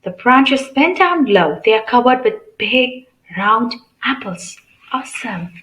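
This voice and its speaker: A woman's voice: on the high side, big pitch swings, slow, fairly bright tone, roomy sound, rough recording. A woman reading a picture book aloud to children in a teacherly way, asking questions and explaining simply. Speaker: read-aloud channel host.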